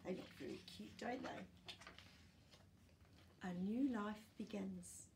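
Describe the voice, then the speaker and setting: A woman in her sixties reading a children's picture book aloud in an expressive, teacherly way, as if to young listeners. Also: medium pitch, a little hiss or hum. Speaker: children's storytime reader. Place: small furnished living room, indoors.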